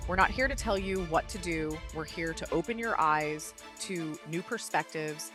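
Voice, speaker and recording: A woman with a somewhat low voice reads a polished, announcer-style show introduction. Faint music plays beneath her. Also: normal speed. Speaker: show host.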